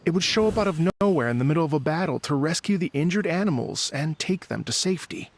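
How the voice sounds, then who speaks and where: A man voicing a thoughtful, polite musician in a video game, speaking in a measured, courteous, gently hesitant way. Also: a little high, expressive, gravelly, slight background noise. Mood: reflective. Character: thoughtful, polite male musician, calm storyteller.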